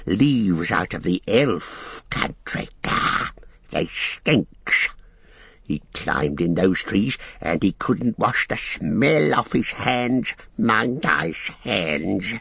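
Sickly wheedling creature, storybook character, very slow, gravelly, strangled hiss, self-pitying. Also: male voice, on the low side, expressive, a bit bright, no echo, studio quality, heavily acted. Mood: disgusted whining. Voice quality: raspy, hissing, strangled. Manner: repeats words, refers to self in third person, drawn-out. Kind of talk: audiobook character acting.

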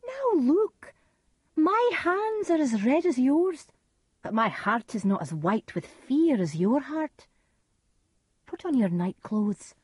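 A domineering noblewoman from a storybook, as a woman reader acts her. Her voice is high and loud, full of scorn and command, with ruthless force. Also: very animated, takes it slowly, dry recording, heavily acted. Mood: scornful, urgent.

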